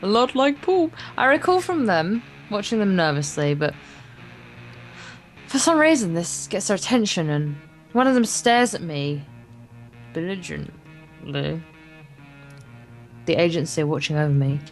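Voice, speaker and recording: A woman's voice: fairly low pitch, animated, measured pace, pauses often. A woman co-hosting a let's play, reading visual novel narration aloud, stumbling over words and adding wry, casual asides. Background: acoustic guitar game music.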